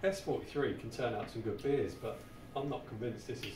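A man's voice: mid-range voice, fairly quick. A man with a British accent hosting a casual, jokey beer review and often repeating himself. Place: small home bar room. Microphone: camera a short distance away. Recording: roomy, distant mic with background noise.

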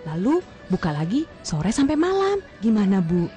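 A woman playing a lively, persuasive shopkeeper offering business advice in a radio drama. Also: mid-range voice, normal speed, faint music behind, natural voice.

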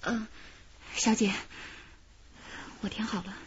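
An actress playing an anxious, hesitant kidnap victim in a radio drama. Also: female voice, mid-range voice, fairly even intonation, takes it slowly, close to an everyday voice. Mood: nervous, hesitant. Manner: hesitant, stammering. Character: frightened female kidnap victim, anxious and pleading. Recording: dull, lo-fi, noisy radio drama track.

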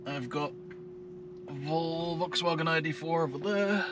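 A man's voice: on the high side, measured pace, heavy background noise. Man vlogging at an EV charger. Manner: relaxed, thinking aloud with fillers. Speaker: EV road trip vlogger. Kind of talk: casual running commentary. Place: in and around a car at a parking lot charging station.

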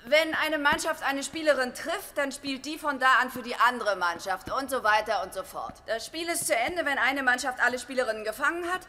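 An actress playing a brash, no-nonsense gym teacher in a dubbed sitcom, brisk, blunt and bossy. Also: female voice, sits high, bright, forceful tone. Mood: commanding. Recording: very noisy, busy crowd behind.

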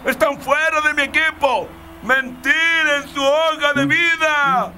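A furious cartoon team boss, piercing and very high. He barks his demands slowly and forcefully. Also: fairly even intonation, a little hiss or hum, exaggerated voice. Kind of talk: cartoon dubbing.

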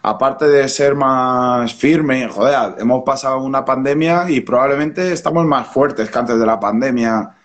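A man in his thirties with a Spanish accent, speaking at a middle pitch over a video call from a small room at home. His talk is relaxed and rambling, full of restarts and fillers as he explains his brewery. The laptop mic gives it a plain home-call sound. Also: a little slow, hardly any pauses. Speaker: brewer and brewery founder, guest. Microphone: webcam or laptop mic on a video call.

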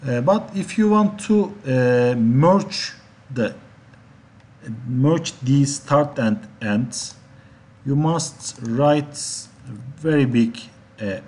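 Man, software instructor, slow halting delivery, medium pitch, faint noise with typing behind. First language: Turkish. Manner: halting, step-by-step explaining. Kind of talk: software tutorial narration. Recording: steady background noise, keyboard clicks.

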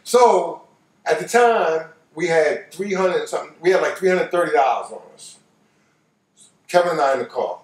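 A man's voice: a little high, lively intonation, normal speed. An older American man telling a personal story casually and colloquially, repeating phrases for emphasis. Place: living room couch, indoors. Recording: roomy, distant microphone.

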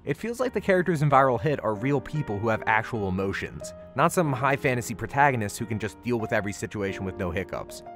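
A man's voice: mid-range voice, very animated, quick pace, few pauses. A man hosting a video, reading a scripted review in an emphatic, conversational way. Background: background music.

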